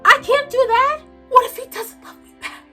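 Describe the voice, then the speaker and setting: A woman's voice: sits high, a little slow, fairly bright tone, faint music behind. A woman playing a lovestruck, tearful young storybook heroine who stammers and speaks melodramatically. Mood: panicked.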